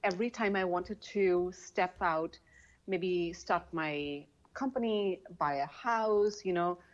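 A woman who speaks English as a second language, chatting casually as a guest author, animated, laughing often and restarting her sentences. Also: lowish voice, takes it slowly, dull audio. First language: not English. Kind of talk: casual interview chat.

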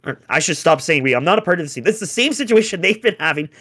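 A young man on a casual sports chat, ranting in an exasperated way with rhetorical questions, his voice going hoarse. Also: fairly high pitch, lively intonation, rapid-fire, fairly bright tone. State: getting hoarse. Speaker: podcast co-host, hockey fan.

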